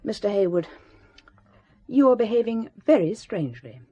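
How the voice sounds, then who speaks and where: A woman's voice: mid-range voice, sing-song, moderate pace, dark tone, breathy edge, highs cut off. An actress playing a prim, shrewd middle-aged secretary in a radio drama, formal and reproving yet devoted to her employer. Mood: suspicious, reproving.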